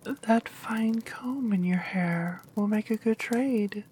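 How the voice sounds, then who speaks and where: A woman voicing a wicked queen disguised as an old woman, speaking in a squeaky, whispered voice. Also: slow pace, warm tone, slight background noise, close to an everyday voice. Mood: coaxing.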